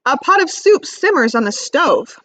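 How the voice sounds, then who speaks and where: Very lively, high-pitched woman reading to children. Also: no room sound. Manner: expressive, instructive, emphasizes key words, pauses to ask simple questions. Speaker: teacher reading aloud to young children. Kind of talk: read-aloud lesson with questions to young listeners.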